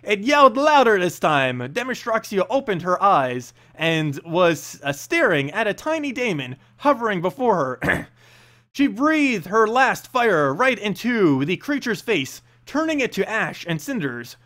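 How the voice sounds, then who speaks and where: Guy with a pretty high voice reading fantasy lore, really swingy and dramatic, storybook style. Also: sing-song, unhurried, bright, forceful tone. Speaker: narrator and channel host. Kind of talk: expressive storybook read-aloud of fantasy lore.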